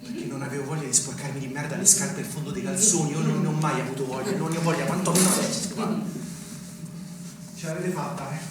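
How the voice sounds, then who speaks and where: An actor playing a bitter, self-righteous provincial politician and older brother on stage, scornful and accusing with pointed rhetorical questions. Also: male voice, on the high side, fast, over loud music, lightly acted. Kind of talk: theatrical stage acting. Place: stage of a theatre. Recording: echoey theatre, distant mic, noisy.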